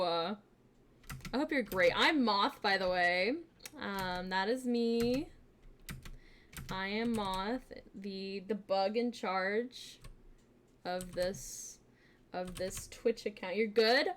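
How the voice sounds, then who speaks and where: A young woman streamer chatting casually with her viewers, bubbly and giggly, with lots of fillers, switching between quiet asides and excited greetings. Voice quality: fairly deep voice for a woman. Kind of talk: casual live-stream chat.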